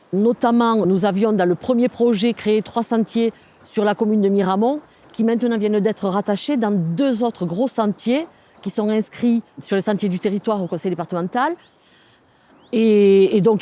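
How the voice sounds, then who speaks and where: A woman with a soft-edged, mellow voice at a middle pitch explains a local project as an official in an interview. She searches for her words as she goes, pausing and repeating short phrases. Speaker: local government sustainable development officer.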